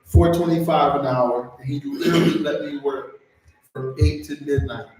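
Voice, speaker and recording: A man in his forties hosting a casual chat, an animated, slangy storyteller. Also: a little high, normal speed, a little hiss or hum. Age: 40s. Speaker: host. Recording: echoey room, far from mic.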